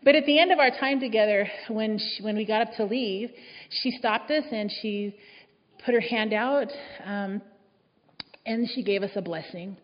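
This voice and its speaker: A woman's voice: medium pitch, moderate pace. Female speaker, reflective sermon storytelling, long hall reverb. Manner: reflective, warm storytelling with soft laughs. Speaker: speaker giving a church talk. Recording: dull echoey hall sound.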